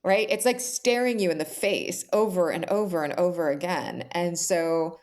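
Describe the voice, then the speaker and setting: Reflective woman with a lowish voice. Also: moderate pace, darkish tone. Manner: earnest, reflective, often seeks agreement with "right?". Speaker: author guest on a podcast. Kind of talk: conversational interview answers.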